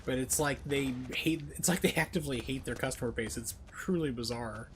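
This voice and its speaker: A man's voice: mid-range voice, a little fast, fairly bright tone, slight background noise. A man streaming a video game, giving casual, opinionated and emphatic commentary as he plays. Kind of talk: casual live-stream commentary while playing.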